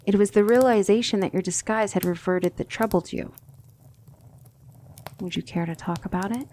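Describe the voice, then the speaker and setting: A woman playing a gentle but firm demon tutor in an ASMR roleplay, speaking in a soothing, measured and formal way. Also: mid-range voice, a little hiss or hum, lightly acted. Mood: gentle, reassuring.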